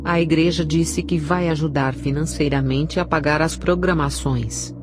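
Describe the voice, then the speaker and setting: A woman's voice: low voice, steady tone, moderate pace, background noise. A woman with a Brazilian accent reading a scripted narration of a religious testimony. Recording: clear background music under the voice. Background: music.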